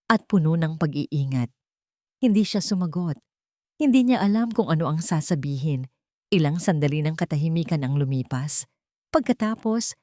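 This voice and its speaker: A woman with a low-pitched voice reads a scripted love story as dramatic narration. The recording is dry and close, with no room sound. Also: darkish tone, dry recording.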